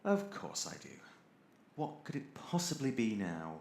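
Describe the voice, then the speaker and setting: A man's voice: medium pitch, wildly swooping pitch, measured pace, vocal fry, a little mellow, reverberant, heavily acted. A man playing an irritable, sardonic robot crewman in an audio drama, theatrically exasperated and sarcastic. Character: irritable sardonic male robot crewman keeping a ship's log.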